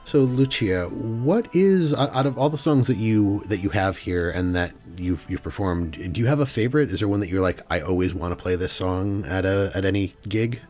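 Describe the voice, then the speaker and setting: A man's voice: low-pitched, normal speed, few pauses, darkish tone. A man, chatting casually as a podcast host. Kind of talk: casual chat. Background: music.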